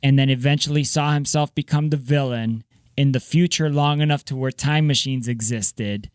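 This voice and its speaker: A man's voice: mid-range voice, normal speed, smooth, no room sound. A man co-hosting a podcast who rambles through a story in a loose, casual way. The recording is dry, with no room sound. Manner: loose storytelling and short interjections. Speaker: podcast co-host.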